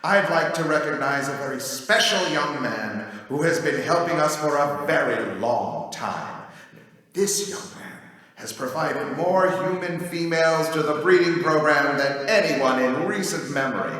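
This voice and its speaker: Pompous male lizard overlord acted by a man, showy speechmaker, highish pitch, cavernous echo. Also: unhurried, fairly bright tone. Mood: jovial, showy. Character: smug, theatrical male reptilian overlord addressing his gathering. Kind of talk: audio drama ceremonial speech. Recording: very echoey hall, distant mic, crowd.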